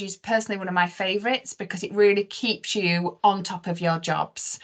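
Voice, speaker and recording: A woman giving an informal, conversational software walkthrough as a webinar presenter, stressing points as she explains. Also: lowish voice.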